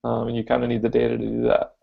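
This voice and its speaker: A man co-hosting a casual podcast chat, deep-voiced, thinking aloud with lots of fillers. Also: monotone, racing, vocal fry, breathy edge, phone-quality sound. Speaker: podcast co-host.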